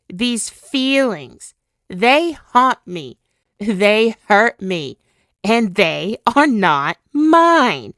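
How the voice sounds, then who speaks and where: A woman's voice: medium pitch, a bit bright, dry recording, studio quality, exaggerated voice. A woman playing a spirit villain possessing a woman's body, obsessive and tormented, in a theatrical, brooding ASMR roleplay monologue. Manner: slow, theatrical, brooding, dwelling on words.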